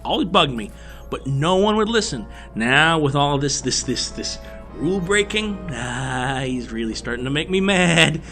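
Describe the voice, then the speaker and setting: A man plays a fretful bird sidekick in an audio drama. He speaks in a somewhat high voice, stammering and repeating words, over faint music. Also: expressive, bright, forceful tone, exaggerated voice. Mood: indignant. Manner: halting, repeats words, grumbling.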